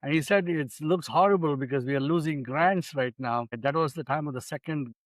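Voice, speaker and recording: Reflective older physician, dry studio sound. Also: male voice, a little high, fairly quick, dry recording. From India. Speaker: physician guest. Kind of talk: relaxed storytelling interview. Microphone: studio microphone.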